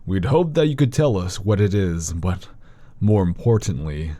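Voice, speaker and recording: An actor playing a grave, learned older doctor of the occult in an audio horror drama, speaking in a measured, formal way. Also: male voice, deep voice, dark tone, no room sound, close to an everyday voice. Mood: formal, urgent.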